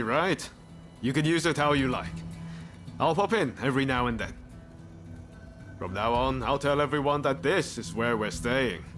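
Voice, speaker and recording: An actor playing an easygoing, friendly samurai-era companion in a video game, talking in a casual, chummy way. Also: male voice, mid-range voice, lightly acted. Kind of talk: video game cutscene acting.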